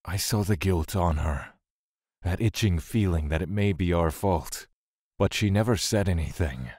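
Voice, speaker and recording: A man's voice: low-pitched, darkish tone, no echo, clean studio sound. A man playing a weary, brooding god who solemnly recounts his love and exile in an audio drama, with music underneath. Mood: somber, reflective. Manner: slow, solemn, brooding storytelling.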